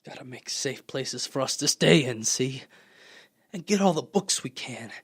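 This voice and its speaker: Man playing a husky, scheming soldier survivor. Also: medium pitch, expressive, unhurried, dry recording, clean studio sound. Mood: insistent, conspiratorial. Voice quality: husky, gravelly. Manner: intense scheming, folksy slang, swelling from hushed to loud. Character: fierce power-hungry artilleryman survivor plotting to rule the world. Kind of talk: radio play acting.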